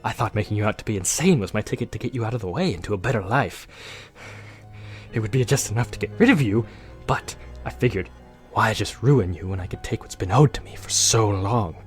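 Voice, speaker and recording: A man's voice: fairly low pitch, darkish tone, close to an everyday voice. A man playing a bitter, power-hungry pony guard turned traitor, sneering theatrically in a cartoon audio drama. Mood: scheming, ambitious. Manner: sneering, theatrical villain monologue. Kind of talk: scripted audio drama acting.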